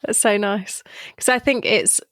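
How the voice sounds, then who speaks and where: A woman's voice: mid-range voice, steady tone, unhurried, fairly bright tone, dry recording, clean studio sound. A woman with a Yorkshire accent hosting a podcast, upbeat and encouraging as she chats with her guest. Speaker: podcast host. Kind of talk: casual interview chat.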